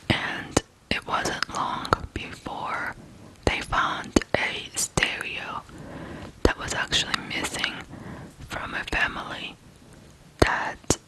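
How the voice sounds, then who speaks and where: An ASMR host from Ukraine whispering a true crime story in a hushed, deliberate way with pauses. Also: low-quality recording.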